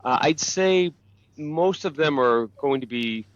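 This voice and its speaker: A man's voice: fairly high pitch, expressive, takes it slowly, no room sound, highs cut off. A man hosting a live show, asking questions in a friendly, upbeat way. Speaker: host. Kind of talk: live interview.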